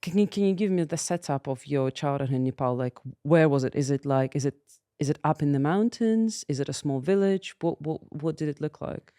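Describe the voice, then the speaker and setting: A woman's voice: low-pitched, brisk, warm tone, dry recording, studio quality. A woman hosting an interview podcast, asking curious, open questions. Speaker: podcast host.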